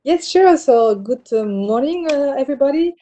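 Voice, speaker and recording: Belgian woman with a very dark voice. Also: high voice, lively intonation, moderate pace. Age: middle-aged. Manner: hesitant, many fillers and restarts. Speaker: bank CEO guest. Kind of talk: interview answers.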